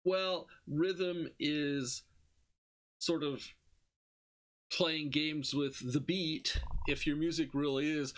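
A man's voice: fairly high pitch, fairly even intonation, slow pace, long pauses, poor audio. A man lecturing informally on slides, explaining conversationally and posing questions that he then answers. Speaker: university music lecturer. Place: indoor room, screen-recorded lecture with webcam.

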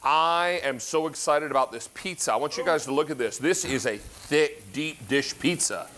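A middle-aged American man hosting a live home-shopping pitch from a studio kitchen, effusive and folksy in manner. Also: normal speed, a bit bright. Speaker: shopping channel host.

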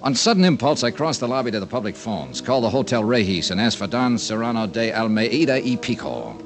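A male actor plays a hard-boiled American insurance investigator in a 1950s radio drama. He is brisk and dry, with a mid-pitched voice that moves widely for dramatic, clipped delivery. Music plays clearly behind him. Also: expressive, natural voice.

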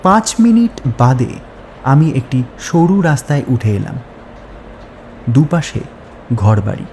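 Male horror storyteller: very unhurried, dark-toned, deliberate short phrases, faint night ambience. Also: mid-range voice, slight background noise. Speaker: story narrator. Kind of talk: scripted horror story narration.

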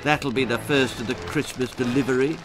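A man voicing a weary, sad old turkey ghost in a storybook, with a mournful, measured delivery. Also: mid-range voice, big pitch swings, over music. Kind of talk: storybook character voice.

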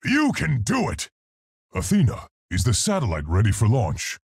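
A man voicing a large, earnest, polite and brave gorilla scientist for a video game, gruff and articulate in his delivery. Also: medium pitch, huge pitch swings, moderate pace, dry recording, studio quality, heavily acted. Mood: earnest, heroic. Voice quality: gruff, husky, gravelly. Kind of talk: video game character voice lines.

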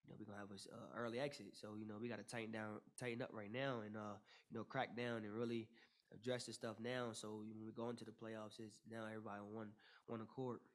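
Young man, flat postgame answers. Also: on the low side, quick pace. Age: young adult. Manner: flat, steady delivery full of "you know" fillers and repeated starts. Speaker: basketball player at postgame press conference. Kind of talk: postgame press conference answers. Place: press room with logo backdrop. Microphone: table mic in front.

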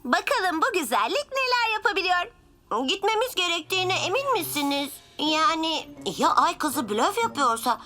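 A voice actor playing an excitable young lizard boy superhero in a dubbed cartoon. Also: extremely high pitch, moderate pace, full character voice. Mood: eager. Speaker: cartoon hero. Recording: studio dub with loud kids' music behind.

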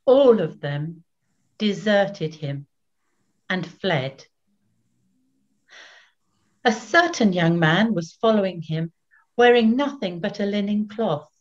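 Older woman narrator, unhurried solemn scripture reading, dull home webcam sound. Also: medium pitch, expressive. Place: small plain room at home.

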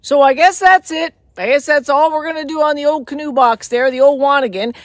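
A woman's voice: sits high, a little fast, dry recording, studio quality. A woman who is a wilderness guide and show host, casually showing gear outdoors in the snow and telling a story in a plainspoken, folksy way. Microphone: camera mic, handheld at times.

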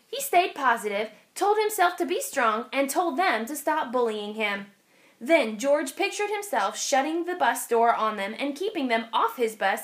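Casting: female teacher for picture-book read-aloud, high voice, expressive, very lively intonation, clear phrasing. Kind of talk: children's storybook read-aloud. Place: indoors, over an open picture book.

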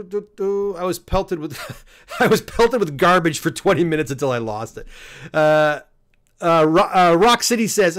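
A man in his fifties with a fairly high voice, chatting casually on a livestream from a small home room. He reads viewer comments aloud, laughs easily and keeps nudging viewers to press the like button. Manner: chatty, laughs often, coaxes viewers to hit like. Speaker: livestream host reading viewer chat. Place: home studio room, indoors.

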